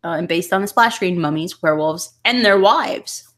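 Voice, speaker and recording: A woman hosting a casual podcast, relaxed and a little hesitant, chuckling now and then. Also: fairly low pitch, normal speed. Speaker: podcast host.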